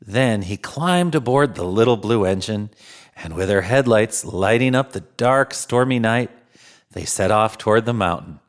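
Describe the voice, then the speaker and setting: A man narrating a children's storybook theatrically, with drawn-out emphasis and chuckles. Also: lowish voice, animated. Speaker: storyteller in Santa persona.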